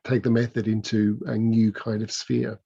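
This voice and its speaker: A man, an author guest on an online panel, talking in a measured, academic way with frequent restarts. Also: on the low side, level tone, creaky, dark tone, slightly rough, dry recording, highs cut off. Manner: measured, academic, repeats words. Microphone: video call.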